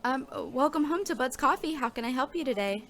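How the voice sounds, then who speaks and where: A woman's voice: high-pitched, expressive, fairly quick, a bit bright. A woman performing scripted audio drama narration as a nervous, overworked new coffee shop worker. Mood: flustered, polite. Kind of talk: scripted second-person narration.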